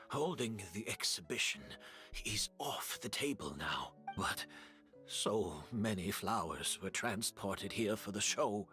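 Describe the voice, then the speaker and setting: An actor playing a weary, gentle, resigned male scholar in a game, speaking in a measured, wistful way. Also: lowish voice, exaggerated voice. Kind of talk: dramatic game dialogue.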